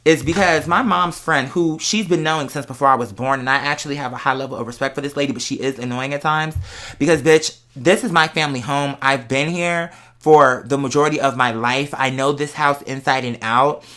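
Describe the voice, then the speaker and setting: Casting: young adult American vlogger, bright forceful voice in a middle register, slangy confessional chat, candid and emphatic. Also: mid-range voice, fairly quick. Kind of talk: casual confessional vlog chat to followers.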